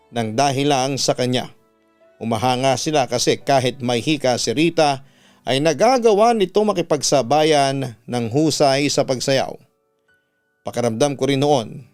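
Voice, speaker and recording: A man's voice: medium pitch, clear, resonant tone. A Filipino man, a radio announcer, narrating a listener's letter as a dramatic first-person story. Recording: music bed behind the voice. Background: background music.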